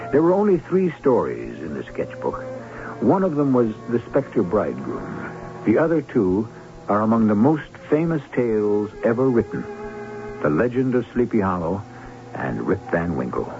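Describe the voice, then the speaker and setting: A male host narrates for a radio drama in a low, very animated voice. His delivery is scripted storytelling. It sounds like a tinny old radio broadcast with music underneath. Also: normal speed.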